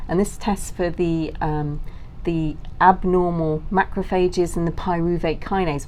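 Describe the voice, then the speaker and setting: British woman, middle-aged, low voice, informal interview guest who explains at length, faint background noise. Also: a little mellow, low-quality recording.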